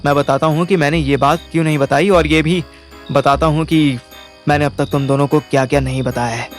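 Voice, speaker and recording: An actor plays a young Indian man recounting his schemes in an audio drama. His voice sits a little high, with a wry, colloquial delivery that turns placating in dialogue. Music runs clearly underneath. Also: fairly even intonation, a little fast, lightly acted. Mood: explaining, placating. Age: young adult. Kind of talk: first-person audio drama narration and dialogue in Hindi-English mix.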